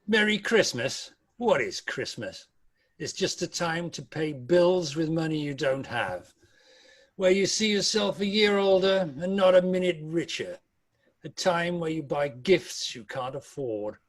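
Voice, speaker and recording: Miserly old Victorian uncle played by a man, scornful and curt, fairly high male voice, very unhurried delivery. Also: animated. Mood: scornful, grumbling. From United Kingdom. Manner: curt, dismissive, sarcastic. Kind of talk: amateur table read of a Victorian Christmas story.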